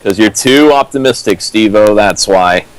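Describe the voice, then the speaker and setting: A man's voice: on the low side, normal speed, no echo. Dry-voiced young man co-riffing. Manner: dry, explains lore with fillers. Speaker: co-host riffing on a fan fiction. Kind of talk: casual comedic commentary.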